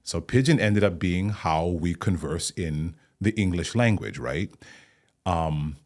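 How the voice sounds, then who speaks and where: A man's voice: deep voice, lively intonation, slow pace, darkish tone. A man from Hawaii, a guest actor and playwright, talking thoughtfully in a podcast interview and explaining at length with small restarts.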